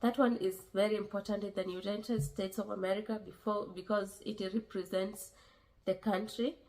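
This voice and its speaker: A woman's voice: medium pitch, steady tone, darkish tone, rough recording. Kenyan woman giving earnest advice. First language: a Kenyan language. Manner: earnest, repeats herself, many pauses and fillers. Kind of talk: casual advice vlog.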